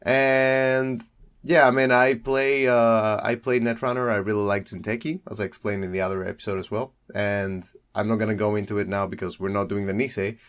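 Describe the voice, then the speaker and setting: A man's voice: fairly low pitch, fairly even intonation, brisk. A young man from Greece, chatting as a guest about his card game deck, rambling and correcting himself with frequent laughs.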